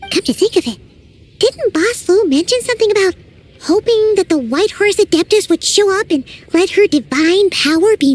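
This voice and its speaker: A woman voicing a tiny floating fairy companion who talks about herself in the third person, with a high, squeaky, childlike voice. It is game dialogue with loud music behind it. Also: slight background noise, full character voice. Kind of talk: game voice acting.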